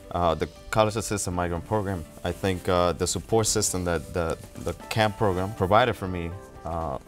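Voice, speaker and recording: A man from the Dominican Republic, an engineering student, speaking deliberately with pauses. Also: deep voice. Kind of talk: interview answers.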